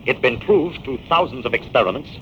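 A man's voice: mid-range voice, natural voice. Guy acting as an eager, wisecracking radio scientist-sleuth, voice swings all over, dull hissy old broadcast. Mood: urgent. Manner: lively, wry, quick banter. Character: confident male scientist-detective, wry and eager. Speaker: lead actor. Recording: dull old radio transcription with faint hiss.

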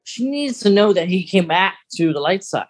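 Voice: mid-range voice, lively intonation, moderate pace. A podcast host talking through fan news in a casual, conversational chat.

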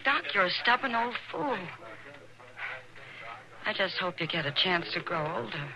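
A woman's voice: medium pitch, expressive, measured pace. A scolding worried saloon woman. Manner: anxious, scolding. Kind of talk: 1950s radio drama acting. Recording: noisy vintage radio broadcast.

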